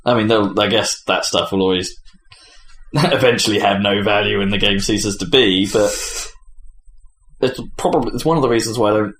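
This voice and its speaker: A man with a fairly low voice talks casually and gives his opinions freely. He often stops and restarts his phrases. The room adds a clear echo around him. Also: moderate pace, a bit bright. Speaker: games podcast panelist; game developer. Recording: echoey room.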